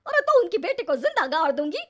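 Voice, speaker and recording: A woman plays a spiteful older mother-in-law from a cartoon. Her voice is extremely high and swoops about as she nags, orders and threatens. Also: sing-song, quick pace, fairly bright tone, heavily acted. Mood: furious. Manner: harsh, nagging, threatening.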